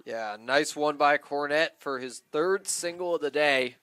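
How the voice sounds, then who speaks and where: A man's voice: on the high side, a little slow, sharp tone, clear, resonant tone, no echo. A man giving conversational live analysis as a baseball color commentator.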